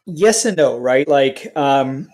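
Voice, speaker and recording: A man's voice: mid-range voice, lively intonation, takes it slowly, darkish tone, low-quality recording. A man who grew up in India and Canada, with Hindi as his first language, giving articulate, structured answers as an interview guest. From India, raised in Canada. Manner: articulate, structured, lists points. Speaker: guest, software company CEO.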